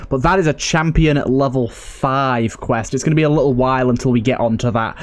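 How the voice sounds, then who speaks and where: A man's voice: mid-range voice, fairly quick. A man giving casual let's play commentary as a gaming host, chatty and thinking aloud.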